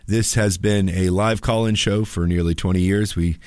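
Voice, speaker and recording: A man with a lowish, slightly raspy voice, a touch under the weather, hosts live talk radio. He chats informally and haltingly, with a wry edge. The sound is dry, studio-close. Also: steady tone, a little mellow, no room sound. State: a little under the weather with allergies. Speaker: attorney hosting a live radio call-in show. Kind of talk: casual live radio chat.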